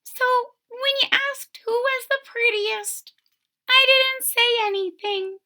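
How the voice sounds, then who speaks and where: A tiny, humble storybook kitten speaks in a very high, bright little voice, put on by a woman reading aloud. It sounds meek and self-effacing, a homely little creature. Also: fairly even intonation, slow pace, full character voice. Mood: meek, modest. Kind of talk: storybook read-aloud character voice.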